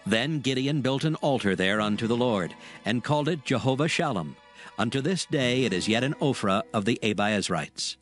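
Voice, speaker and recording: Male scripture narrator over soft music. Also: mid-range voice, animated. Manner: solemn, measured storytelling.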